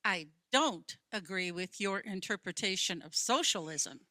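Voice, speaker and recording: A woman's voice: mid-range voice, animated, measured pace, sharp tone. An actress in a radio play playing a skeptical, stubborn, gloomy woman who questions and argues. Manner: querying, argumentative.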